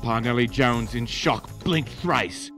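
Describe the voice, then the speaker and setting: A man's voice: mid-range voice, takes it slowly, music in the background. A man playing an over-the-top live motorsport TV reporter in a punchy, tongue-in-cheek mock news report. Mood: mock-dramatic.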